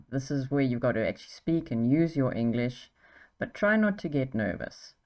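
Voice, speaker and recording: A woman's voice: low voice, a little mellow. A woman with a South African accent, giving an exam-tips English lesson in an encouraging way, checking in with "okay" as she goes. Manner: encouraging, uses okay and right often. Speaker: English teacher.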